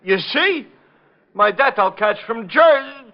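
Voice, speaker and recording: High-pitched, fussy Yiddish-inflected complainer. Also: male voice, lively intonation, takes it slowly, exaggerated voice. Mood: agitated, complaining. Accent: Yiddish-inflected English. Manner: agitated, plaintive, sing-song. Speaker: radio actor. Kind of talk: old-time radio comic acting. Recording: muffled vintage radio recording.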